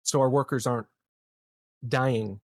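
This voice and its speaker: A man playing an earnest, resolute colony politician in a tabletop game, giving a slow, deliberate public speech. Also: mid-range voice, steady tone, darkish tone, no echo, studio quality. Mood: solemn, resolute.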